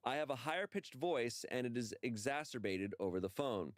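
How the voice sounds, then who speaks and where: An animated man reads posts aloud and jokes with his co-hosts on a podcast. His voice is mid-pitched and dry, recorded close to a studio mic with no room sound. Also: moderate pace, no echo. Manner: animated, jokey, acts out quotes in a lower voice. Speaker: podcast co-host reading stories. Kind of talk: casual chat and reading posts aloud.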